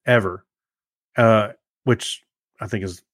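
A man's voice: lowish voice, fairly even intonation, slow pace, fairly bright tone, no room sound, studio quality. A middle-aged man co-hosting a podcast in casual chat, talking in a rambling way with many restarts and fillers. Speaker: podcast co-host.

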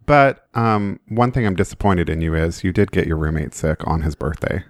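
A man's voice: low voice, normal speed, no echo, studio quality. A man co-hosting a board game podcast, teasing his friend and walking through the rules in a playful, chatty way. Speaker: podcast co-host. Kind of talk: casual chat about board games.

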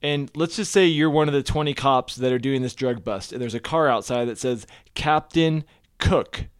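A man co-hosting a loose podcast chat, his voice sitting at an ordinary middle pitch. He rambles and stalls on sentence starts, repeating words before he gets going. The sound is dry and close, with no room around it. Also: moderate pace, smooth, dry recording. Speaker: podcast co-host. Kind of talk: casual chat.

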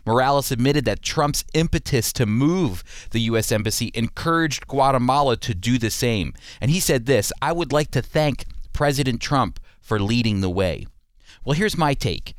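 A man's voice: mid-range voice, clear, resonant tone, no echo, studio quality. A man hosting a radio program, teaching the Bible and commenting in an earnest, emphatic, explanatory way.

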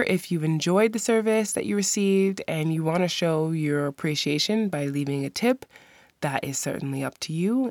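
A woman's voice: low-pitched, no room sound. A woman hosting a podcast, giving friendly, practical travel advice. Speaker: podcast host. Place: lives in the country she describes.

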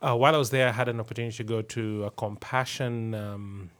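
Earnest man recounting a trip, low voice. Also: steady tone, fast. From Kenya. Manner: earnest, warm storytelling with repeated words. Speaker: podcast co-host, pastor. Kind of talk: casual podcast chat.

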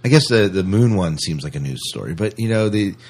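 Very low-pitched male podcast host, slightly husky. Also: lively intonation, fairly quick, a little mellow. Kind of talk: casual podcast chat about news.

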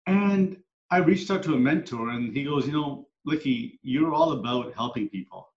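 A man's voice: mid-range voice, expressive, normal speed. A middle-aged man, a coach and speaker, telling his story as a podcast guest in an earnest, reflective way. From Canada, moved there at ten. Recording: echoey room, dull lo-fi sound.

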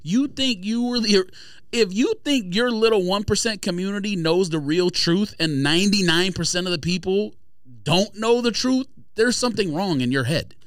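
A man's voice: high-pitched, normal speed, clean tone, dry recording. A man debating casually as a podcast host, argumentative and blunt, with emphatic delivery.

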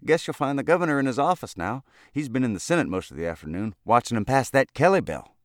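A man's voice: a little high, big pitch swings, moderate pace, no room sound, studio quality, pitched above the performer's own voice. A man voicing a cheeky young elevator boy in a storybook, pleading with hurt innocence in folksy, ungrammatical speech. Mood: cheerful.

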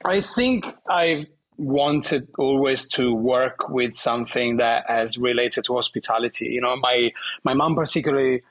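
Italian-accented man with a husky voice. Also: medium pitch, fairly bright tone, poor audio. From southern Italy. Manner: halting, many restarts and fillers, laughs often. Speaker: guest bartender. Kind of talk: casual interview chat.

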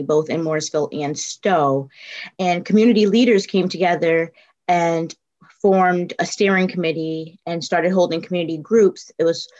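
Middle-aged woman from the South Bronx, lower voice, earnest and halting interviewee. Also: a little mellow. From South Bronx, New York. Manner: earnest, halting, with frequent ums and self-corrections. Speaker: community organizer, interview guest. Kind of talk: conversational interview answers.

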